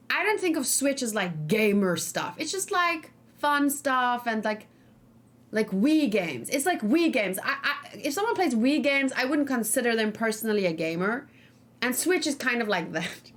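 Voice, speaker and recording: A woman's voice: a little high, a bit bright. A woman gaming streamer talking casually and informally, rambling and thinking aloud as she hosts a let's play.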